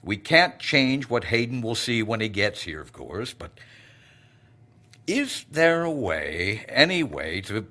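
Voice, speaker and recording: A man playing a pragmatic, anxious director of a secret research facility in an audio drama, speaking in measured, formal terms. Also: fairly low pitch, big pitch swings, sharp tone, full character voice. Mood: grave.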